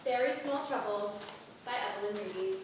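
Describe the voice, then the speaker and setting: A woman's voice: mid-range voice, moderate pace. A woman narrating, reading out stage directions at a staged reading of a play. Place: indoor playhouse before an audience. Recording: very echoey theater, distant noisy mic.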